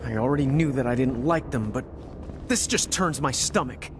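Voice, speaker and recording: A man's voice: mid-range voice, normal speed, background noise, natural voice. A young man playing a brash, goofy teenage boy hero in a video game, slangy and exaggerated. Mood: disgusted. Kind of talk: animated video game voice acting. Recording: music behind the voice.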